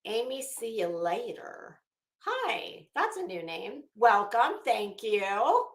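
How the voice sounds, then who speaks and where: High-voiced American woman in an echoey room. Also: slow pace. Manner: animated, sarcastic, blunt and sweary. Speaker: livestream host. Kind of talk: casual chat with live viewers. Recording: roomy, echoey sound.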